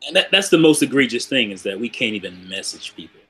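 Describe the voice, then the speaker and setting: A man's voice: medium pitch, big pitch swings, fast, a loud crowd. A man bantering casually as a co-host on a gaming podcast.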